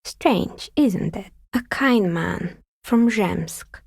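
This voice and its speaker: A calm, wry artisan woman in a video game, a maker of novelty dice. Her voice is breathy and husky with a gravelly creak, captured close and dry. She talks in a measured, understated way. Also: mid-range voice, no room sound, full character voice. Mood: matter-of-fact, wry. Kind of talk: video game character dialogue.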